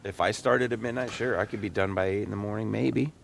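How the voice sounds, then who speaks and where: A male podcast host with a lowish, gravelly voice, chatting casually with guests. There is clear background noise behind him. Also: fairly even intonation, quick pace. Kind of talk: casual interview chat.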